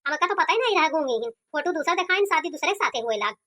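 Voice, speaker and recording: A woman's voice: high-pitched, animated, quick pace, pushed and bright. A woman voicing a complaining, stubborn young village groom in an Awadhi-accented cartoon comedy. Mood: protesting. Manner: complaining, argumentative.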